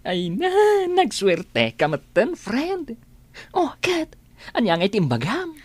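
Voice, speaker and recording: A woman with a Filipino accent playing a supportive friend in a radio drama, with expressive delivery. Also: medium pitch, slow, husky, no echo, full character voice. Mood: surprised, delighted. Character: supportive female friend working abroad. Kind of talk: Ilocano radio drama acting.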